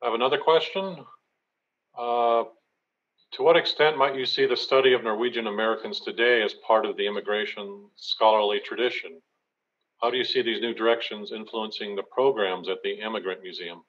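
A middle-aged man moderating an online talk, reading audience questions aloud in an even, steady way. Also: fairly low pitch, brisk. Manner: steady, reads questions evenly. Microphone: webcam on a video call. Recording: slightly dull video call audio.

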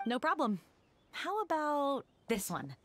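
A woman's voice: high-pitched, huge pitch swings, slow pace, slight background noise, heavily acted. An actress voicing an earnest young woman assistant on a film crew in a video game. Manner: helpful, matter-of-fact.

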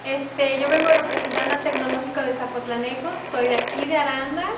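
A woman's voice: sits high, steady tone, fairly quick. A young woman with a Mexican accent giving an informal self-introduction as a pageant contestant. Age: young adult. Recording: tinny, very echoey, noisy distant recording.

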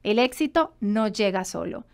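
A woman in her thirties giving a scripted instructional presentation, addressing the viewer directly in a clearly structured way. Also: mid-range voice, lively intonation, normal speed. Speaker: presenter of business advice videos. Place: indoor studio in front of a backdrop.

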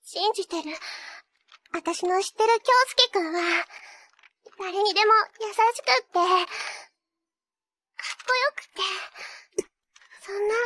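Very high, squeaky bubbly anime schoolgirl. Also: slow, long pauses, bright, forceful tone, dry recording, exaggerated voice. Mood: tender, pleading. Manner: melodramatic, exclamatory, goofy. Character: bubbly, clumsy teenage magical-girl cosplay idol. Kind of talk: Japanese anime voice acting.

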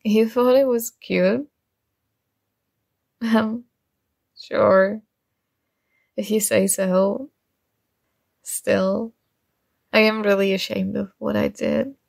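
A woman's voice: medium pitch, fairly even intonation, drawn-out words, vocal fry, pauses often, darkish tone, no echo, full character voice. A woman playing a shy, nerdy young classmate in a romantic ASMR roleplay, flustered and smitten, speaking in a hesitant, awkward way. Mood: flustered, embarrassed.

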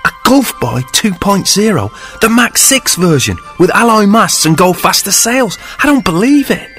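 A man voicing an excitable young male ship enthusiast who gushes and stammers when shocked. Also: on the low side, normal speed, soft background music, lightly acted. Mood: enthusing.

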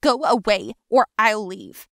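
A woman voicing an insecure young woman who pleads and turns bitter, in a high, emotional voice. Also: takes it slowly, fairly bright tone, dry recording, clean studio sound.

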